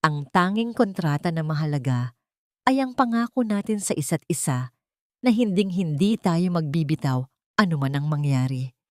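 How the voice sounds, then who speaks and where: A woman's voice: low-pitched, expressive, moderate pace, no echo, clean studio sound. Reflective loving young wife, voiced by a woman. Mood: earnest, tender. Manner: earnest, sentimental.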